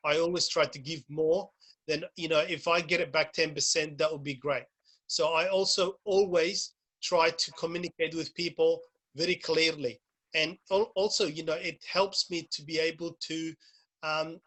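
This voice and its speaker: A man's voice: fairly high pitch, pushed and bright. A man, giving an informal, plain-spoken talk as a guest speaker over a video call, often repeating himself. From Somalia. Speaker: guest speaker, youth worker. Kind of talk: informal presentation.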